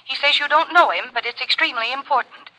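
High, bright office secretary. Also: female voice, fast talker. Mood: urgent. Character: efficient female office secretary announcing a caller. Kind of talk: 1940s radio drama acting. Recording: noisy vintage radio recording.

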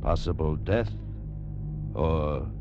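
An actor playing a grave, dramatic narrator of mortal danger in 1940s radio suspense style. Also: male voice, rumbling low, takes it slowly, heavily acted. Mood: ominous. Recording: dull old radio recording, loud music.

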